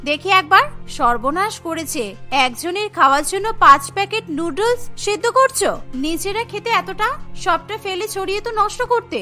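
A woman's voice: very high-pitched, lively intonation, normal speed, pushed and bright, soft background music, exaggerated voice. A woman voicing a strict, harried young sister-in-law in a cartoon, scolding and questioning sharply. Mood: annoyed, scolding.

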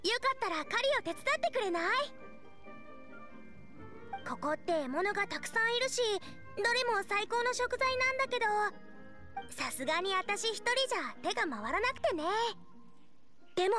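A woman's voice: extremely high pitch, very animated, normal speed, pauses often, over music. A woman voicing a cheerful, eager teenage girl chef with a bubbly, friendly manner in an anime game. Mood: cheerful, persuasive.

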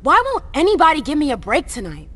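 An actress playing a defiant, sharp-tongued vampire socialite in a video game, casual and sarcastic in manner. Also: female voice, high-pitched, wildly swooping pitch, fast, exaggerated voice. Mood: indignant. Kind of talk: dramatic game cutscene acting.

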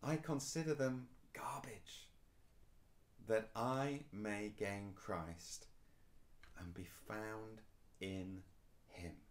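A man's voice: lowish voice, very slow, long pauses. A man, preaching a recorded sermon to camera in a small indoor room, earnest and emphatic. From England. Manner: earnest, rising and falling emphasis, gestures while speaking. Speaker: pastor.

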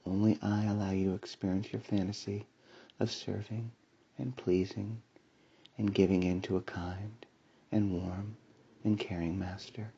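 A man delivering a slow hypnotic induction in a soft, soothing voice with breathy whispers and a repetitive commanding cadence. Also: lowish voice, little pitch movement, muffled recording. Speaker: hypnosis audio creator.

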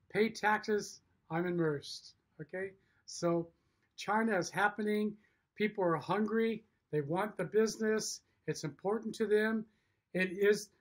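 A middle-aged man giving a promotional message to camera as a business owner and host, emphatic, in short punchy sentences. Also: high voice. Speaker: factory owner and show host. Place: indoor room with wood-block walls.

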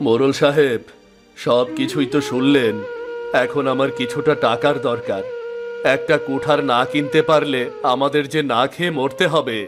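A man voicing a poor, worried village woodcutter and gentle father in a cartoon, with a plaintive manner. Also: medium pitch, steady tone, normal speed, close to an everyday voice. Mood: worried, despairing. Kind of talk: Bengali cartoon voice acting. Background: music.

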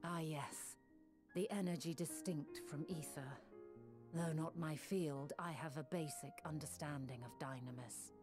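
A woman acting a calm, resolute young noble sage in a fantasy video game, with measured, archaic and formal diction. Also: deep voice, music in the background. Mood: thoughtful, assured.

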